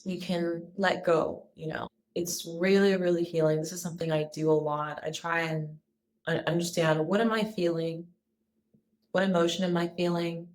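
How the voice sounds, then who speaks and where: A woman with a deep, low-pitched speaking voice talks straight to her viewers in a personal vlog. She sounds soft, calm and sincere, with the odd restart as she finds her words. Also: normal speed. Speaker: wellness vlogger. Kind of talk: casual personal vlog talk.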